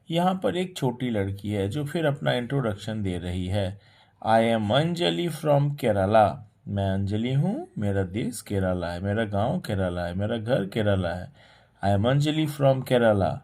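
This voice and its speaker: A man teaching a children's textbook lesson, reading each English sentence slowly and explaining it word by word in Hindi. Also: fairly low pitch, darkish tone.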